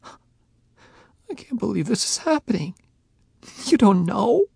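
A man's voice: sits high, sing-song, slow, vocal fry, darkish tone, husky, no echo, clean studio sound, voice pushed up, heavily acted. A man reading an audiobook, voicing a heartbroken young woman who pleads tearfully and flares into anger. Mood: tearful insistent. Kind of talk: audiobook character voice.

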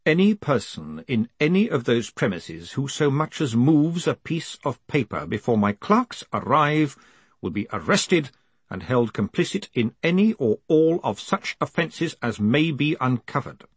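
A man voicing a calm, quietly commanding ruler with measured, formal, icily polite speech in an audiobook. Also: mid-range voice, husky, no echo, pitched above the performer's own voice. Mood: authoritative. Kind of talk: audiobook character acting.

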